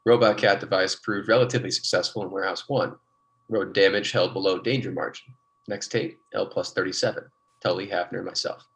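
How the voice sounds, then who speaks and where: An actor playing a conscientious, measured male biologist on a space colony in an old-time radio science-fiction drama. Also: low-pitched, steady tone, fairly bright tone, a little hiss or hum, low-quality recording. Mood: clinical, businesslike.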